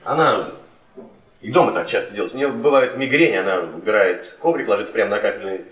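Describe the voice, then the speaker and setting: An actor playing an awkward, polite young academic in a radio play, hesitant and embarrassed. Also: male voice, fairly low pitch, a little fast, dull audio, close to an everyday voice. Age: young adult. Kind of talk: Soviet radio theatre acting. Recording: echoey room, far from mic.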